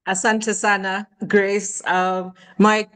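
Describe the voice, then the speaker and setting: A middle-aged woman with a Ugandan accent, speaking informally as a guest in a measured, hesitant way. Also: mid-range voice, little pitch movement, fairly bright tone, clean tone. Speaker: guest speaker, learning consultant. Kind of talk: informal self-introduction to an online audience.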